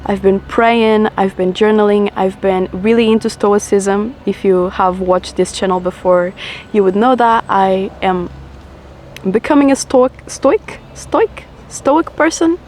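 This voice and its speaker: A young woman in her twenties, from Portugal, with a low voice, chatting reflectively and a bit ramblingly to camera while smoking. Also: measured pace, darkish tone, a little hiss or hum. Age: 20s. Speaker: vlogger. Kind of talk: casual chat to camera.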